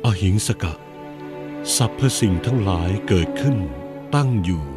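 A serene Buddha. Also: male voice, on the low side, expressive, over loud music. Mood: solemn. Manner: measured, solemn preaching. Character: calm, serene male Buddha teacher. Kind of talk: animated cartoon voice acting.

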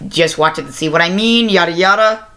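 A young adult giving a casual video review to camera, halting and often self-correcting, recorded on a webcam mic in a small room. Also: mid-range voice, sharp tone, clean tone. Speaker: review show host. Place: small plain-walled room indoors. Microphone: laptop webcam microphone at arm's length.

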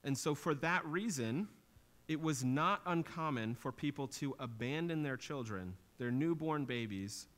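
A man's voice: on the high side, expressive, measured pace, a bit bright. Man preaching an earnest sermon. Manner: earnest teaching tone, rising for emphasis. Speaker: pastor. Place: small church stage with drum kit.

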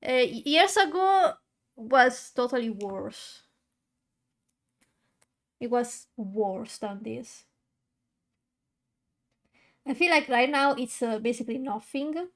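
A woman's voice: on the high side, expressive, takes it slowly, long pauses. A young woman streaming while coloring, chatting casually to her viewers, with a stutter and many fillers and restarts. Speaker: VTuber streamer.

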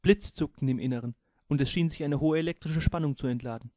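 A man's voice: a little high, quick pace, warm tone, no echo, studio quality. A man reading his own humorous audiobook aloud, narrating and giving each crew member a voice.

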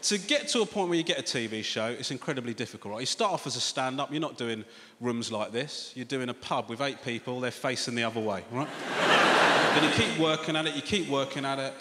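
A man, doing an animated stand-up set on a theatre stage with a handheld mic, confiding and swearing freely. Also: medium pitch, a little hiss or hum. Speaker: stand-up comedian. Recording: echoey hall with loud audience laughter.

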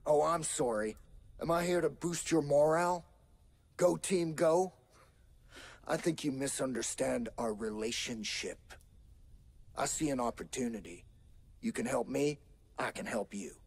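An actor playing a cocky, cranky middle-aged American hacker in a video game, with a folksy, sardonic drawl. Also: male voice, mid-range voice, a little slow, gravelly, pauses often, a little mellow, slightly rough, dry recording. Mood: wry. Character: cranky, cocky older male hacker turned junkyard sculptor.

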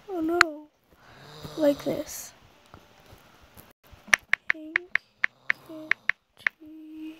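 Young girl, sick and hoarse, high voice, slow rambling talk, noisy phone recording. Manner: rambling, halting, with throat clearing. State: losing her voice, sick. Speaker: drawing app vlogger. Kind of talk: casual screen-recorded chat. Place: bedroom at home, brother snoring nearby.

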